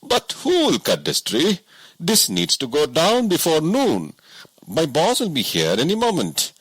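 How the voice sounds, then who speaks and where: A man's voice: fairly high pitch, huge pitch swings, unhurried, a bit bright, husky, no room sound, pitched above the performer's own voice, heavily acted. A man voicing a poor, hardworking woodcutter who speaks frankly and with wonder in an audiobook story. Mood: worried.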